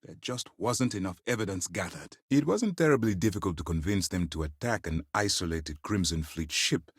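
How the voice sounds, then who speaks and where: A stern military commander from a video game, with a low voice that moves widely between measured restraint and force. He phrases things formally and with authority, in a close, dry recording. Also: expressive, slightly rough, no room sound, full character voice. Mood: grave, deliberate. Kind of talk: scripted video game dialogue.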